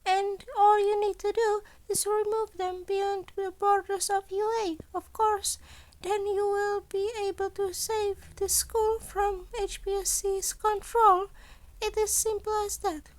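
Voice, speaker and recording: A woman's voice: very high-pitched, little pitch movement, a little slow, dry recording, pitched above the performer's own voice. A woman voicing a small male animal school principal, a cunning, gleeful schemer who talks in formal, wordy sentences in a fan fiction audio story. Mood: scheming, intense. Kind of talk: acted dialogue in a fan fiction reading.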